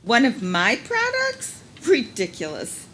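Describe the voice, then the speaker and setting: A woman's voice: fairly high pitch, wildly swooping pitch, slow pace, bright, forceful tone, exaggerated voice. A woman playing a haughty, indignant businesswoman in a live radio police drama parody, with a theatrical, imperious delivery. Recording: noisy live shipboard stage performance.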